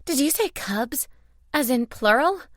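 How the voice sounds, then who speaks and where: An earnest, emotional young woman speaks plainly as an audiobook narrator acts her out. Her pitch is raised and her delivery is expressive, in very dry sound. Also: a little slow, dry recording. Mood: startled. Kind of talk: audiobook character dialogue.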